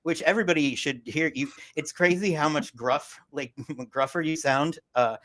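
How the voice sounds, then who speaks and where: A woman's voice: sits low, moderate pace, fairly bright tone. Chatty rambling woman in her forties. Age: 40s. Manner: rambling, chatty, many fillers and restarts. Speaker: host. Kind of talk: casual interview chat.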